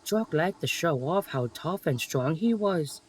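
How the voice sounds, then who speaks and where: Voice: medium pitch, lively intonation, a little slow, crowd noise. A narrator reading a scripted children's story, with music playing behind.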